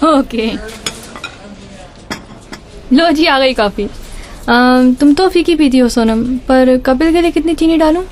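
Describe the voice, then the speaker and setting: High-voiced, gushing office woman. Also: takes it slowly, pauses often, darkish tone. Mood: friendly, awkward. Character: surprised, chatty sighted office woman welcoming friends. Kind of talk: radio drama dialogue in Hindi mixed with English.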